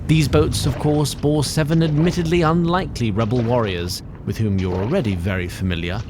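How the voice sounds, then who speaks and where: A man's voice: mid-range voice, fairly quick, background noise, lightly acted. A man playing a chatty tree sprite narrator of a fantasy audio drama, formal and wry with fussy asides. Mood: measured, wry. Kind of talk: theatrical scripted fantasy narration.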